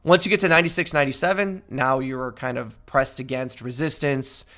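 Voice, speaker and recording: A man's voice: mid-range voice, steady tone, brisk, sharp tone. A man hosting a trading livestream, talking through charts in a casual, explanatory way. He often checks in with "right?" and sounds slightly nasal as he gets over a cold. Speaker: crypto trading livestream host. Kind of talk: casual chart analysis with chat.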